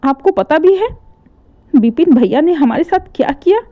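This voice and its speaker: A woman's voice: sits high, lively intonation, normal speed, dull audio, pitched above the performer's own voice. A woman voicing a young married daughter who cries and accuses her brother. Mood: tearful. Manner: accusing, crying.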